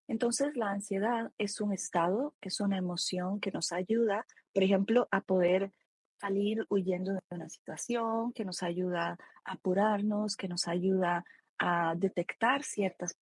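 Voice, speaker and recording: A Costa Rican woman speaks with a husky voice, close and dry in the recording. She is an expert guest, explaining things step by step in a reflective, conversational way. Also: mid-range voice, no echo. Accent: Costa Rican Spanish. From Costa Rica. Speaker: expert guest, psychologist and author.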